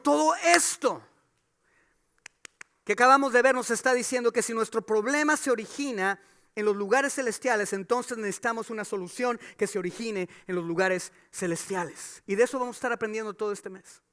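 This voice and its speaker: Male pastor, very high bright voice, smooth and clear, emphatic sermon delivery. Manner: preaching with rhetorical questions, rising to emphatic calls.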